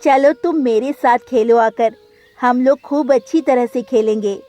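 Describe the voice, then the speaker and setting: A woman's voice: fairly high pitch, a little slow, dark tone, lightly acted. A woman voicing a practical, reassuring female sparrow friend in a cartoon story. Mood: consoling.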